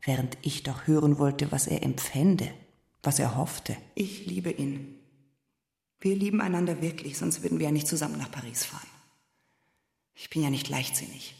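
A woman's voice: low-pitched, normal speed, vocal fry, warm tone, echoey room. An actress playing a restless, scornful unfaithful wife who provokes her husband in a German literary radio play, pointed and reproachful. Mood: defiant. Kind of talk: German literary radio play, dialogue and first-person narration.

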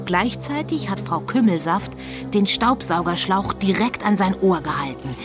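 A woman narrating a children's radio play in a measured, deliberate storytelling style. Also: mid-range voice, expressive, over music.

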